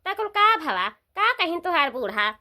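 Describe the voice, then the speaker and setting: A woman's voice: very high-pitched, big pitch swings, moderate pace, sharp tone, full character voice. A woman voicing a bossy, gossipy village sister-in-law in a comedy cartoon, emphatic and repetitive with an Awadhi accent. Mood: urging, eager.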